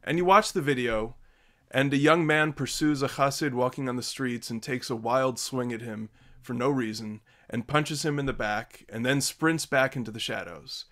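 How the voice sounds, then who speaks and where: A man's voice: mid-range voice, moderate pace, fairly bright tone. Earnest man hosting a video call. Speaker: host. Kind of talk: scripted opening remarks. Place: home study with bookshelves.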